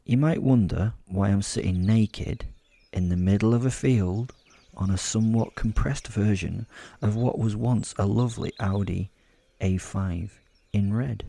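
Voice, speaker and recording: A man's voice: lowish voice, gravelly, warm tone, dry recording. A man narrating a comic horror story in the first person as a sardonic werewolf, in a wry, sweary, conversational way. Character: sardonic, sadistic male werewolf telling his story. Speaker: podcast host and storyteller.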